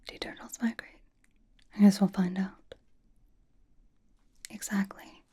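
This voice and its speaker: A woman playing a bossy, playful young female pop idol in a whispered ASMR roleplay, giving slow, mock-stern instructions in a breathy whisper. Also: medium pitch, fairly even intonation, mellow.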